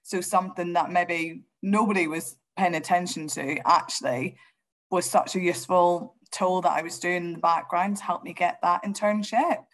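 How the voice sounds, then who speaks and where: A woman's voice: fairly low pitch, fairly even intonation, normal speed, a bit bright. A woman in her thirties with a Northern Irish accent, speaking fluently and encouragingly as a panelist on a video call. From Northern Ireland. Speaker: radio panelist. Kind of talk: conversational answer on a panel.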